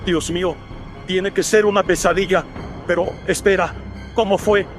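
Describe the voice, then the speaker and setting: Man acting an anguished high-voiced colonial father, Don Juan. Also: fairly even intonation, normal speed, soft background music, lightly acted. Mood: anguished, despairing. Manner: theatrical, anguished exclamations. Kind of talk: melodramatic horror radio-drama acting.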